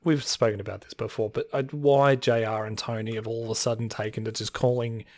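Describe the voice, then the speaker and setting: Male podcast co-host, slightly hoarse, exasperated casual ranting with sighs, dry close mic. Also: medium pitch, steady tone, fairly quick, no room sound. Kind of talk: casual chat.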